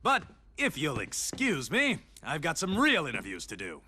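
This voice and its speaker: A man's voice: fairly high pitch, wildly swooping pitch, moderate pace, sharp tone, full character voice. A man voicing a slick, smug broadcast reporter in a video game, with a showy, needling delivery. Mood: gleeful. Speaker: racing show reporter. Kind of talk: exaggerated video game cutscene acting.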